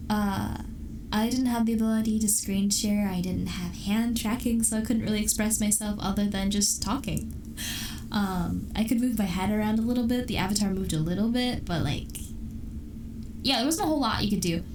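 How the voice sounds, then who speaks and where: A young woman streamer chatting casually with her viewers, giggling often and restarting her sentences as she rambles. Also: mid-range voice. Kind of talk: casual chat with live chat. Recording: distant mic with clear background noise.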